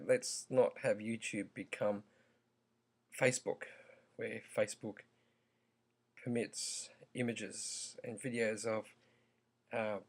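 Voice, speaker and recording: A man with a croaky voice, giving his view in a slow, halting, earnest vlog full of pauses and ums. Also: fairly low pitch. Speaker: vlogger. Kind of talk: opinion vlog.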